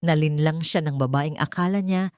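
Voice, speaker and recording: A woman's voice: low voice, moderate pace, darkish tone, clear, resonant tone, no room sound, studio quality. A woman reading a scripted drama as narrator, in a storytelling style.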